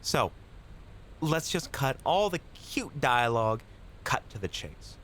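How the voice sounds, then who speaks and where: A man's voice: mid-range voice, sing-song, takes it slowly, a bit bright, a little hiss or hum. A man playing a pushy, taunting, slangy street punk in an audio roleplay. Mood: cocky, menacing. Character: cocky, bullying male street punk mugger.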